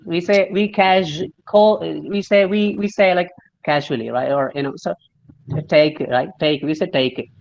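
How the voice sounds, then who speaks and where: A man's voice: high voice, steady tone, measured pace, creaky, a little mellow, muffled recording. Malayali English-accented man coaching pronunciation. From Kerala, India. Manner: explanatory, spells words out and demonstrates pronunciation. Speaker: speaking coach. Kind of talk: interactive online English lesson.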